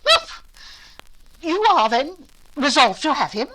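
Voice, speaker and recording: A haughty older noblewoman of a vintage radio romance, commanding and easily affronted. Her voice is fairly high and forceful, with dramatic swings in pitch. She speaks formally and pompously, as if used to being obeyed. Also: wildly swooping pitch, slow pace, heavily acted, poor audio. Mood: indignant, imperious. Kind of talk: recreated 1940s radio drama acting.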